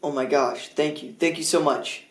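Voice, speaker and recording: A man's voice: a little high, fairly even intonation, moderate pace, lightly acted. A man acting in a comedy skit as an earnest, impatient friend who speaks insistently, recorded in a roomy space. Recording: roomy, echoing indoor sound.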